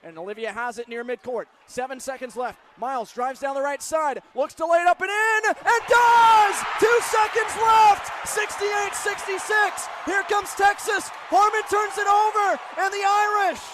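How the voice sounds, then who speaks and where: An American man doing live radio play-by-play sports commentary, in clipped phrases that build to excited shouts. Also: extremely high pitch, measured pace, hardly any pauses. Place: sports venue broadcast position. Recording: loud arena and crowd noise behind. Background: crowd and venue noise.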